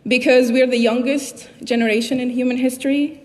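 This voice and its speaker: A woman's voice: high voice, level tone, fairly quick, a little mellow. A young woman from Tunisia with a Tunisian accent gives a motivational speech, addressing her audience directly. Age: young adult. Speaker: keynote speaker at a youth summit. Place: large indoor hall at a summit. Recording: echoey hall, long reverb, background noise.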